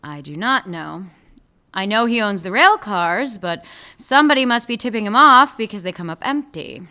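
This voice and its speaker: A woman's voice: mid-range voice, lively intonation, moderate pace, bright, forceful tone. A woman acting a dry, sarcastic police chief in a radio play. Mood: guarded. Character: sardonic female police chief.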